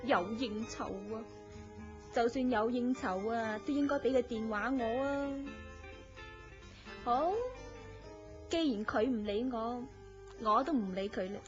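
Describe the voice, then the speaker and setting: High-voiced coquettish young mistress played by an actress. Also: female voice, a little slow, long pauses, lightly acted. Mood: pouting, resentful. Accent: Hong Kong Cantonese. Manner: coquettish, pouting. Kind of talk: Cantonese radio drama acting. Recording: muffled lo-fi radio drama, music behind.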